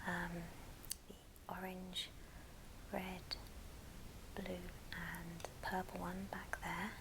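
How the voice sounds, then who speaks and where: A woman whispering breathily and gently in an ASMR show and tell, leaving pauses between short phrases. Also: fairly low pitch, gravelly, background noise. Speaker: ASMR video host.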